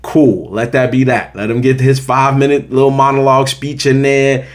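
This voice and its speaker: A man's voice: mid-range voice, steady tone, moderate pace. Emphatic American man reviewing movies. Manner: conversational, emphatic, repeats points for emphasis. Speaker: film review show host. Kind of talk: casual opinionated movie review to camera.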